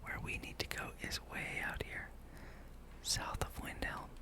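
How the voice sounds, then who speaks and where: A gaming ASMR host whispering softly and without voice in an unhurried, casual ramble over gameplay, close to the microphone. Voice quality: soft, unvoiced whisper.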